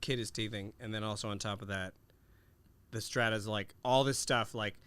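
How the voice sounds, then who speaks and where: A man's voice: on the low side, fairly even intonation, moderate pace, a bit bright, clean tone. A man, a comedian guest on a podcast, chatting casually and grumbling in a self-deprecating way. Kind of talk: casual chat. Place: podcast studio.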